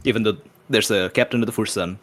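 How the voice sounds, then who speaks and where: A man's voice: fairly low pitch, steady tone, quick pace. A man chatting casually as a player in a tabletop roleplaying game, thinking aloud about his moves.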